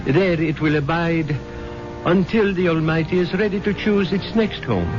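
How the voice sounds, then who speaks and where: An actor playing a solemn mystic doctor who intones a slow eulogy at a funeral. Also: male voice, a little high, close to an everyday voice. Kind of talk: 1970s radio drama acting. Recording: dull old radio broadcast with music.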